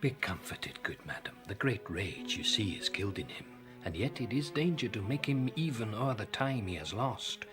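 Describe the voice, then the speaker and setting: A man plays a royal physician in classical audio drama. His fairly low voice is gentle, calm and respectful, with music clearly audible behind it. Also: moderate pace, few pauses, lightly acted. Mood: soothing, respectful. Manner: calm, reassuring. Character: gentle, deferential physician attending a king.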